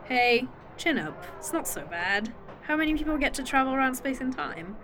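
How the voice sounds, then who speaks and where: A woman's voice: high-pitched, big pitch swings. An actress playing a snarky, teasing woman time traveler with a fake arm in an indie audio drama. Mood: playful. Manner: dry, teasing, quick-witted.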